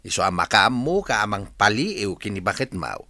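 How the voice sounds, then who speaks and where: A man's voice: fairly low pitch, sing-song, normal speed, pushed and bright, no echo, full character voice. A man acting a blunt, meddling older uncle in a radio drama, emphatic and exclamatory. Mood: concerned, warning. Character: blunt, meddling older uncle giving warnings to his nephew.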